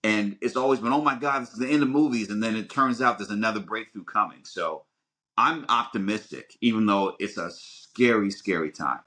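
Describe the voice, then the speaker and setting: Middle aged podcast guy, low voice w/ a bright forceful edge, kinda animated, repeats words as he goes. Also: a little fast. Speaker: podcast co-host. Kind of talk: casual conversational commentary.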